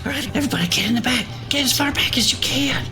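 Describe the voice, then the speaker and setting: Excitable little fantasy adventurer: high, swooping, folksy, exclamatory, over loud music. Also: male voice, fast talker. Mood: urgent. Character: small, excitable, folksy male adventurer, dramatic worrier. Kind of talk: audio drama acting.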